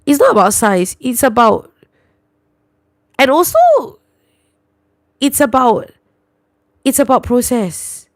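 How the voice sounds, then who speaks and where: A young woman speaks with a Singaporean English accent, chatting loosely with her livestream viewers and answering their comments as they come in. Her voice has a gravelly edge and lively swings in pitch. The sound is dry and close. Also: takes it slowly, long pauses, no room sound. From New Zealand and Singapore. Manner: chatty, reacts aloud to comments, sprinkles lah and lor. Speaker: livestream host. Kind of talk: casual livestream chat reading viewer comments.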